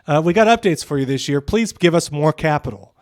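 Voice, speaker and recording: A man's voice: fairly high pitch, lively intonation, brisk, no echo, clean studio sound. A man co-hosting a podcast with casual, opinionated commentary, emphatic, repeating phrases for stress and swearing freely. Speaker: podcast co-host.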